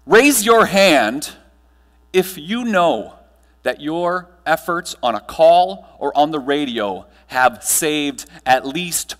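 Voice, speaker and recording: A man giving a motivational keynote to a live audience, telling stories with dramatic pauses and emphatic delivery. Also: on the high side, fairly bright tone. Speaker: keynote speaker. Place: large room, live audience, amplified. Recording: echoey hall, long reverb.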